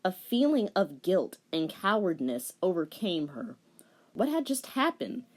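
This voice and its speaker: A woman's voice: fairly low pitch, big pitch swings, a little slow, darkish tone. A woman dramatically narrating fan fiction, theatrical in her storytelling, with wry and casual asides. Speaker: fan fiction reader and commentator.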